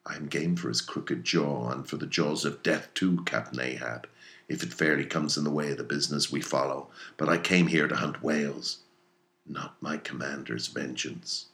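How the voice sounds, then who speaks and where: A man's voice: very deep voice, fairly even intonation, normal speed, a bit bright. A man voicing a sober, principled first mate in a storybook reading, earnest and protesting in dismay. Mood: restrained objection. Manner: earnest, remonstrating. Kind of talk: dramatic audiobook character reading.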